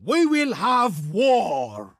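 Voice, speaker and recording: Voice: medium pitch, wildly swooping pitch, drawn-out words, a bit bright, no echo, clean studio sound, exaggerated voice. An actor playing a haughty, grandiose Egyptian diplomat in a video game, speaking in a pompous, formal, declamatory way. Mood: wrathful threatening. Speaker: game character, faction envoy. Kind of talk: theatrical video game voice acting.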